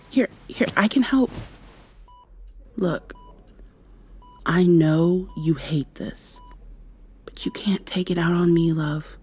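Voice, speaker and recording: A woman playing a devoted, worried partner of a sick loved one, speaking tenderly and haltingly to the listener in an intimate ASMR roleplay. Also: low-pitched, a little mellow, breathy edge. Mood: concerned, caring, then gentle, pleading. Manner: tender, soothing, halting and reassuring.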